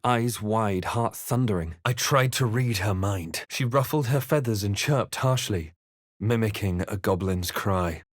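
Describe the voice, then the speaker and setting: Brief: male actor as resolute RPG hero, lowish voice, measured dramatic delivery, brooding game narration, very dry studio sound. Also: no echo, close to an everyday voice. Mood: grave narrating. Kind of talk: scripted video game character lines and narration.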